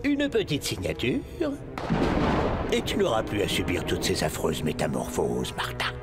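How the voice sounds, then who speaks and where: Casting: theatrical, mock-polite demon tempter for animation, hugely animated intonation, loud score underneath. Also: male voice, low voice, a little slow, heavily acted. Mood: wheedling. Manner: theatrical, mock-courteous, wheedling. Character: suave scheming male devil tempting a boy into a pact. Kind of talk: French animated series acting. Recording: loud music behind.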